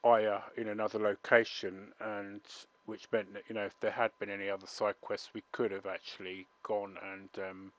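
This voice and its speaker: A man's voice: on the low side, level tone, moderate pace, hardly any pauses, a bit bright. A man commenting on his video game playthrough, rambling and chatty. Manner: rambling and chatty, many fillers. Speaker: gaming channel host. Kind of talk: let's play commentary.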